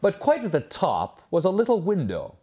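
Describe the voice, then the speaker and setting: A man reading a fairy tale as a storyteller, in a dramatic, expressive scripted narration with pauses. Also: high voice, breathy edge.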